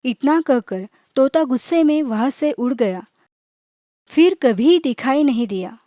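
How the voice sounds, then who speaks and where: Slow, high-voiced woman storyteller. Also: animated, dark tone, dry recording, studio quality. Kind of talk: scripted children's moral story narration.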